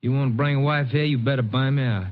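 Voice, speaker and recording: An actor playing a menacing, greedy, resentful farm brother with an insolent rural Texan drawl in an old radio western. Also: male voice, mid-range voice, steady tone, fast talker, close to an everyday voice. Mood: demanding, scornful. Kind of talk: vintage radio western drama acting. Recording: muffled vintage radio recording.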